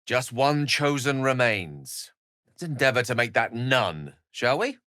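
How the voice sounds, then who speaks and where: A man's voice: medium pitch, sing-song, a little slow, sharp tone, no echo. A man voicing an eloquent, self-assured wizard in a fantasy role-playing game, speaking articulately in ornate, theatrical phrases with dry wit. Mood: dry, pointed. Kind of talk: theatrical game dialogue in short separate lines.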